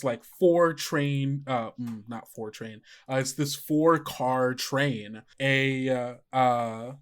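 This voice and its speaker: A man narrating as game master of a tabletop game, rambling and loose with lots of fillers. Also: mid-range voice.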